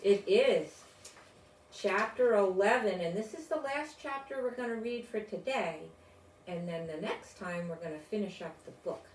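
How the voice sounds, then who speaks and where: An older woman reading a children's storybook aloud in a deliberate, expressive way, with informal asides. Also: mid-range voice. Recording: roomy, distant mic, faint noise.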